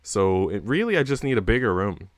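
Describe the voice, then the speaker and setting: A man's voice: lowish voice, sing-song, a little fast, no room sound, studio quality. A man livestreaming, chatting casually with viewers in a rambling, slangy way with casual swearing. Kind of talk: casual chat with stream viewers.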